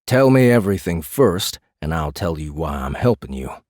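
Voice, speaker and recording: An actor playing a steady, probing renegade space marshal, protective and dryly teasing, speaking in a measured, coaxing way in audiobook drama dialogue. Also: male voice, low-pitched, no echo, clean studio sound.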